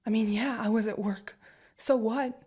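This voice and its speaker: A young woman playing an anxious, protective girlfriend in a listener-directed audio roleplay, rambling and stammering, often sinking into hushed whispers. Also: a little high, steady tone, normal speed, slightly rough. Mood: shaken.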